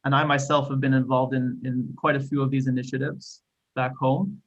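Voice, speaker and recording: Israeli guy on a panel, mid pitch, careful, kinda muffled sound. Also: little pitch movement, fairly bright tone. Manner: thoughtful, measured with hesitant restarts. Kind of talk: panel discussion.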